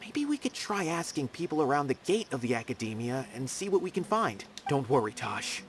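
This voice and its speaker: A man's voice: mid-range voice, big pitch swings, brisk, slight background noise, lightly acted. A man playing a loyal, earnest and polite young investigator in a video game. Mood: thoughtful, resolute. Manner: earnest, emphatic.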